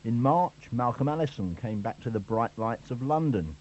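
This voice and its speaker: Wry male sports reporter, mellow tone. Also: mid-range voice, lively intonation, moderate pace. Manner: dry, wry. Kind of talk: scripted narration. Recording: dull lo-fi radio recording.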